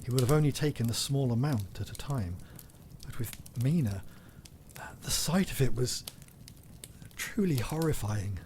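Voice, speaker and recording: A composed gentleman doctor, played by a man in gothic audio drama. He is polite, clinical and concerned, medium in pitch, with faint noise behind. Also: lively intonation, measured pace, lightly acted. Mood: sombre, thoughtful.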